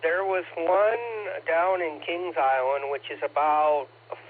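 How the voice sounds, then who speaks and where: A man's voice: a little high, steady tone, slow pace. A man in his late thirties from Ohio, chatting in a rambling way with many fillers over an amateur radio net. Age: 30s. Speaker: ham radio net operator. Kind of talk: casual radio chat. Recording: tinny two-way radio, faint hiss.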